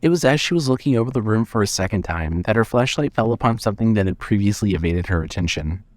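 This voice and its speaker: A man's voice: fairly low pitch, lively intonation, quick pace, no echo. A man narrating scripted suspense fiction as the storyteller of an audio drama.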